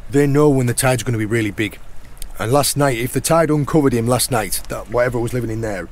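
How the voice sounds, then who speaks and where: A middle-aged man with a hoarse, croaky voice, chatting casually outdoors on a rocky shore as he guides viewers through foraging. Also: medium pitch, fast talker. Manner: chatty, points things out as he goes. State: hoarse, croaky sore throat. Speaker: channel host and foraging guide.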